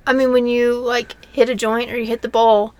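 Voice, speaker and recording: A woman's voice: a little high, flat delivery, normal speed, darkish tone, no echo. A young adult woman co-hosting a podcast, talking in a casual, unscripted, conversational way. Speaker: podcast co-host.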